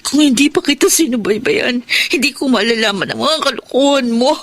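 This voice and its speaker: High-voiced, heartbroken girlfriend. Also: brisk, a bit bright. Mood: tearful, betrayed. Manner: tearful, melodramatic. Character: heartbroken longtime girlfriend, betrayed and wounded. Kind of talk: Filipino radio drama acting.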